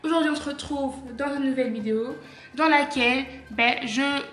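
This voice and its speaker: A woman's voice: high voice, normal speed, fairly bright tone, faint music behind. A young woman from Belgium vlogging casually at home, tired and chatty. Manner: chatty, tired, says genre a lot. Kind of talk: casual vlog chat.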